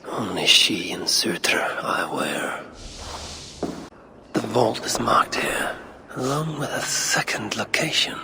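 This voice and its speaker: An actor playing a grim, commanding Norse god warrior in a video game, with a gravelly, breathy voice and a measured, brooding manner. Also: male voice, on the low side, sing-song, whispered, full character voice. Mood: musing. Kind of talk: dramatic game voice acting, brooding narration. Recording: heavy ambient game noise behind.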